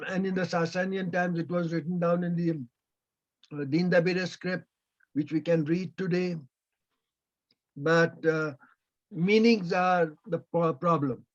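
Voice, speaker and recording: Slow, halting man on a video call. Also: sits high, steady tone. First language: Persian. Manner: slow, halting, with many pauses. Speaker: invited speaker. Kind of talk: answering audience questions. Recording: dull lo-fi video call audio.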